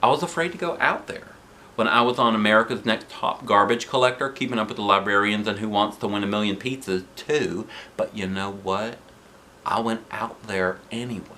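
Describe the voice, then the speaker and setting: A man's voice: lowish voice, brisk, sharp tone, a little hiss or hum. Man putting on a folksy, pushy showbiz woman. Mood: folksy, confiding. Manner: folksy, encouraging, showy. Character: Mrs. Lane, folksy pushy showbiz woman talent coach. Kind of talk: children's storybook read aloud.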